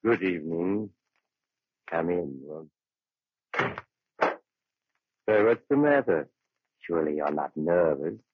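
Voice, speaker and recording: An actor playing a sinister, courteous doctor who tells eerie tales in measured 1940s radio narration. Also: male voice, low-pitched, lively intonation, background noise. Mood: suavely ominous. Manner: measured, theatrical, suavely menacing. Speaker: storyteller host of a horror radio series. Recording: dull old-time radio recording.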